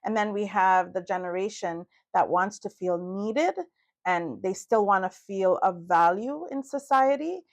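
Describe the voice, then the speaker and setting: A middle-aged woman from Trinidad and Tobago with a Trinidadian accent, co-hosting a podcast in an animated, chatty way full of "you know" asides. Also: mid-range voice, unhurried. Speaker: podcast co-host. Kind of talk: casual chat.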